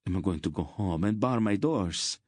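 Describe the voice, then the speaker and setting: Rattled merchant, loud and high, blustering, voiced by a male narrator. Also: lively intonation, normal speed, dry recording, clean studio sound. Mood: agitated.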